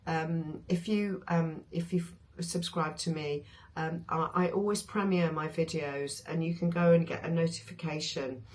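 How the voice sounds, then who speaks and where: A woman with a hoarse voice, chatting casually about her favourite sewing patterns, with many ums and restarts. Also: sits low, fairly even intonation, a little slow, hardly any pauses, darkish tone. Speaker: sewing vlogger.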